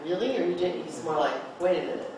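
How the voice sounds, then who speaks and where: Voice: medium pitch, lively intonation, fast. A director leading a rehearsal informally, guiding the actors with questions and coaching. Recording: echoey room, distant mic, noise.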